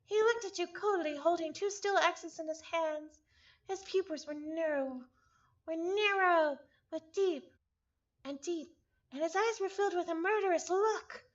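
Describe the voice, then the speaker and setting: A woman's voice: very high voice, unhurried, muffled recording. A woman reading a story aloud live with casual asides, often stumbling over and repeating words. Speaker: visual novel reader, streamer.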